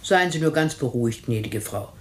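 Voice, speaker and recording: A woman's voice: very deep voice, lively intonation, moderate pace, echoey room. An actress in a German radio drama playing a deferential housekeeper who reassures her employer. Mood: reassuring. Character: devoted older female housekeeper. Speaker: housekeeper Mrs Lamb.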